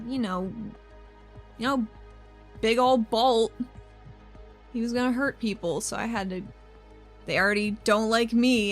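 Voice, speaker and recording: A woman playing an earnest, frank female handler in a tabletop game, explaining herself in an informal, halting way. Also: mid-range voice, natural voice. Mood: weary, defensive. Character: earnest, frank female handler defending her troublesome partner.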